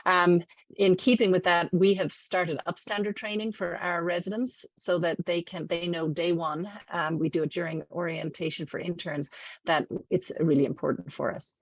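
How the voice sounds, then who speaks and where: A woman's voice: lowish voice, fairly even intonation, normal speed, dry recording, lo-fi sound. A middle-aged woman, a residency program director, giving a casual webinar talk with many ums and asides. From not from the Midwest. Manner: warm, chatty, many fillers. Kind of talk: webinar presentation. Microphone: video call.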